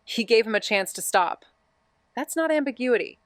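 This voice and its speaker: Casting: actress for wry, guarded woman detective, smooth clear voice, intimate dry sound, understated noir audio drama. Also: a little high, lively intonation, normal speed, no echo, natural voice. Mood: focused, grave. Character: wry, introspective, guarded woman amateur investigator. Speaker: actor in a neo-noir mystery podcast. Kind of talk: naturalistic audio drama dialogue and narration.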